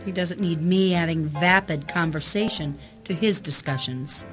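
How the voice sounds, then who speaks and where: A woman playing a poised, elegant young society wife in a radio drama, gently reproving. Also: fairly low pitch, normal speed, faint music behind, lightly acted. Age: young adult.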